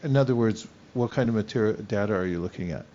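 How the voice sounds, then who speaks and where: An older man chats with young retreat members, firing off quick, curious questions. The recording is dull and lo-fi. A faint hiss sits underneath. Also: lowish voice, warm tone. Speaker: retreat leader interviewing youth. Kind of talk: casual conversational interview.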